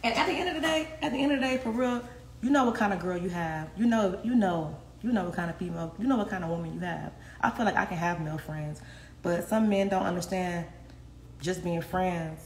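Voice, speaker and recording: A woman's voice: on the low side, brisk. A young woman chatting candidly with viewers on a phone livestream from her home kitchen, laughing often and swearing freely. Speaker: livestream host. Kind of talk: casual livestream chat with viewers. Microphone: phone at arm's length. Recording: echoey kitchen with faint noise.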